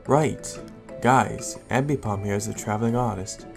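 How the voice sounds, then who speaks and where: A man playing a calm, responsible Raichu guardian in an audio drama, speaking in a measured, explanatory way. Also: fairly low pitch, gravelly, over music. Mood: earnest, explaining. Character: calm, helpful adult male Raichu guardian, steady and responsible.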